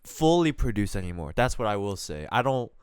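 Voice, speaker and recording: A man's voice: fairly low pitch, sing-song, fairly quick, clean tone, no echo, clean studio sound. A young man in his twenties, a native Korean speaker, co-hosting a podcast and talking in a laid-back, hesitant way with many fillers. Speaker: podcast co-host, DJ. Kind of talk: casual chat.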